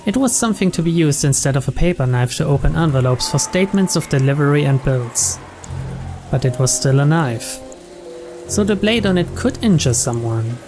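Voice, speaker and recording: A man's voice: fairly high pitch, animated, normal speed. A man reading the text of a visual novel aloud as scripted narration. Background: game music.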